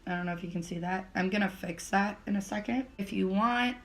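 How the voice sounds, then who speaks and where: A young woman with a slightly raspy voice, still recovering from laryngitis, chatting casually through a makeup tutorial with lots of fillers. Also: medium pitch, fairly quick, noisy background. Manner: chatty, filler-heavy step-by-step explaining. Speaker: beauty vlogger.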